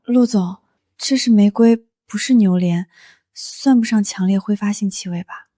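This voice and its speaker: An actress playing a flustered, cheeky young office worker in a dubbed animated romance, with comically exaggerated exclamations. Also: female voice, medium pitch, moderate pace, lightly acted. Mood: protesting.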